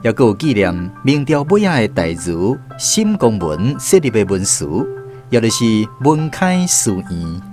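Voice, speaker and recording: Male radio drama narrator, Taiwanese accent, animated melodic delivery over instrumental music. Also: mid-range voice, unhurried. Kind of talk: scripted narration.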